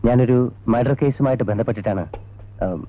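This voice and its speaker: An actor playing a brisk, insistent police detective in a 1980s film drama, probing in a businesslike way. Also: male voice, mid-range voice, lightly acted. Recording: dull old film soundtrack.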